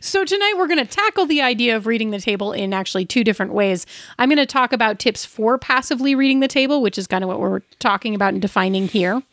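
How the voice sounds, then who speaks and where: Higher-pitched woman chatting as podcast co-host. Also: animated, fast, hardly any pauses, a bit bright, dry recording. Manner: informal, chatty. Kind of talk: casual, conversational discussion and advice.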